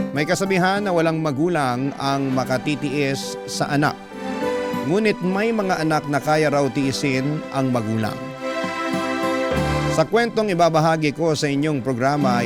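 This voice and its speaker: A man with a Filipino accent narrating a scripted radio drama as its host, in measured, earnest storytelling. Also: mid-range voice. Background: music bed with guitar.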